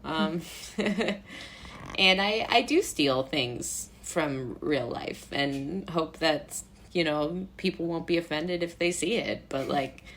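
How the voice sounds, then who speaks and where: A woman's voice: sits low, takes it slowly, a little hiss or hum. Chatty American woman, guest on a podcast. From Brooklyn, United States. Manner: animated storytelling, acting out quoted remarks. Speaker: interview guest, playwright. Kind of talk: casual interview chat.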